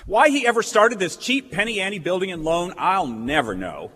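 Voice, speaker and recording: Earnest young small-town idealist played by a male actor, high and swooping, impassioned radio-play delivery. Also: very animated, moderate pace, pushed and bright, slightly rough, heavily acted. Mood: indignant. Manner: impassioned, stammering, folksy speech. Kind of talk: staged old-time radio play acting. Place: theatre stage. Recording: reverberant stage hall recording.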